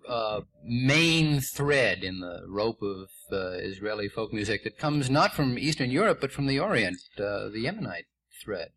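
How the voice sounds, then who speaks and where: Relaxed male radio host. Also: on the low side, measured pace, hardly any pauses. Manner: conversational, lightly joking. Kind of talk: radio interview conversation.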